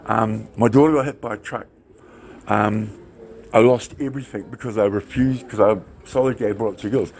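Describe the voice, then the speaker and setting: A New man arguing his case loudly and insistently with police in a government office. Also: lowish voice, fairly even intonation, normal speed, a little hiss or hum. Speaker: member of the public confronting police. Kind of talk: heated argument. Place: government office lobby, indoors.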